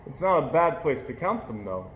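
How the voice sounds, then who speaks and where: A man's voice: on the high side, sing-song, normal speed, fairly bright tone. A man voicing a kindly, patient father with an easygoing, reassuring manner, recorded in an echoey room with faint hiss.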